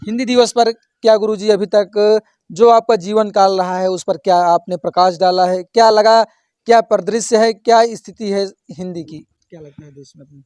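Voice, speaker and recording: Male interview host, high-pitched, brisk questions, dry sound. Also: steady tone, a little mellow, clear, resonant tone, no echo. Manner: brisk introduction, frequent affirming murmurs while listening.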